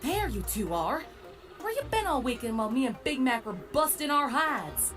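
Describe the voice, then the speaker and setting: Woman as a high, lively country mare. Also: normal speed. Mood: exasperated. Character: hardworking country young mare, plain-spoken. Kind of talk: fan comic dub character acting. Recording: music and crowd noise behind.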